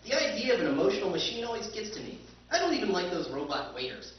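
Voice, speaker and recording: A man's voice: high-pitched, big pitch swings, quick pace, a little hiss or hum. A man narrating and playing a wry male surveyor, telling the story with dry humor in a live radio drama recreation. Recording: echoey hall, far mic, muffled, music.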